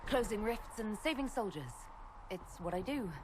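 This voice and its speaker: A determined, plain-spoken elf woman, voiced by an actress as a game hero. Her pitch is medium. Clear ambient noise sits behind the voice. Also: expressive, moderate pace, natural voice. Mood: confident. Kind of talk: video game acting.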